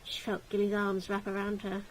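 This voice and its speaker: A woman's voice: medium pitch, monotone, normal speed, very noisy. A woman reading a gothic visual novel aloud as narrator, in a dramatic storytelling style.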